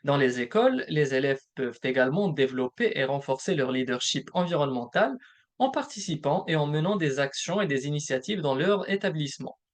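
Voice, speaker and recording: A man presenting a recorded webinar as a program manager, explaining points one by one in a measured way. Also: a little high, expressive. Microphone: recorded presentation voice-over.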